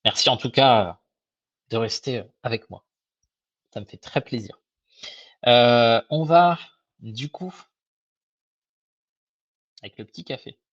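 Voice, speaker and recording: A young man with a slightly hoarse, husky voice walks viewers through a webinar in a friendly, informal way. He hesitates often and restarts his phrases. The sound is dull and lo-fi, like a web call, and very dry. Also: medium pitch, expressive, takes it slowly, pauses often, fairly bright tone, dry recording. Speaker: microfinance advisor presenting a webinar. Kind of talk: casual live webinar presentation.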